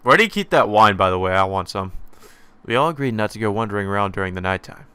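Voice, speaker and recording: A man's voice: fairly low pitch, expressive, quick pace, pushed and bright, smooth, no echo. A man giving casual, sweary, offhand commentary while playing a visual novel. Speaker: let's play host. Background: faint game music.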